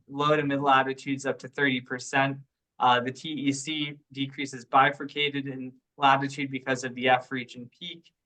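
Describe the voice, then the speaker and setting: A man presenting technical research informally on a teleconference, explaining haltingly as he thinks aloud. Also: medium pitch, pushed and bright, rough recording. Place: online teleconference.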